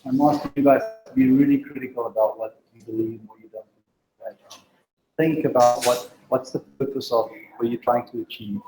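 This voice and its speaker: A man speaks to a meetup crowd in a mellow, warm tone. His delivery halts and restarts, with a stutter and plenty of ums. He urges his listeners plainly and directly. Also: mid-range voice, fairly even intonation, poor audio. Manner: halting, with frequent ums and restarts; direct, exhorting. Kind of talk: informal presentation to a live group.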